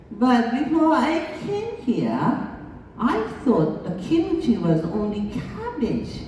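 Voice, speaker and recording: Japanese woman, slow, mellow voice, chatty lecture style, distant in a big echoey hall. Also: a little high, slight background noise. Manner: slow, chatty, laughs along, acts out little dialogues. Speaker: international school board chair giving a lecture. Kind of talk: conference lecture. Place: large conference hall. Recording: echoey hall, voice heard from far off.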